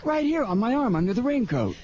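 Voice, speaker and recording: A man's voice: very high-pitched, very animated, fast talker, highs cut off. A man playing a cocky, streetwise fur thief in a 1940s-style radio crime drama, brusque and slangy. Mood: brisk, bossy. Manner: brusque, slangy, self-assured. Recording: noisy amateur radio drama recording.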